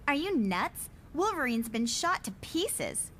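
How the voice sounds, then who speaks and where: A woman voicing a curious, excitable teenage girl, a new student in a motion comic. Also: high voice, slow, a little hiss or hum, full character voice. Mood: startled. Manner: inquisitive, eager.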